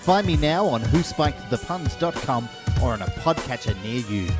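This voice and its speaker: A man's voice: medium pitch, expressive, normal speed, loud music behind the voice. A man reading a scripted podcast promo as its host, with a theatrical announcer delivery.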